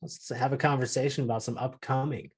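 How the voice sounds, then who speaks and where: A man's voice: medium pitch, steady tone, brisk, crowd noise. A man co-hosting a casual film discussion, chipping in with wry, joking remarks. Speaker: co-host of a film discussion show. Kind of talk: casual chat.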